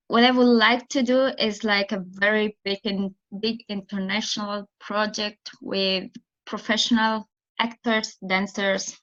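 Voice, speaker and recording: A woman's voice: medium pitch, highs cut off. A woman with an Italian accent and Italian as her first language. She answers interview questions thoughtfully as a theatre director, often restarting and searching for words. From Italy. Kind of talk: interview answers on a remote call.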